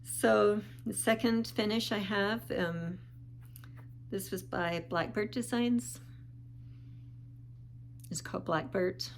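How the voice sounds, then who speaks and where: A woman talking casually in a show-and-tell vlog, calm and unhurried with small pauses, sounding a bit sniffly. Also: medium pitch, vocal fry, a little hiss or hum. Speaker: vlogger.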